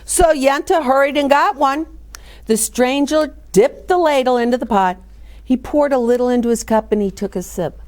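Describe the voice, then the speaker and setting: A woman reading a children's picture book aloud with animated, dramatic and emphatic storytelling. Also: sits high, normal speed. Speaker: children's story reader and host.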